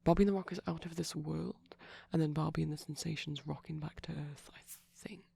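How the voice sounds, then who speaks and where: A man playing a shy, gentle vampire boyfriend in an intimate ASMR roleplay, soft-spoken, breathy and creaky, rambling hesitantly and dipping toward whispers. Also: fairly high pitch, steady tone, fairly quick, exaggerated voice. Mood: rambling, gently teasing.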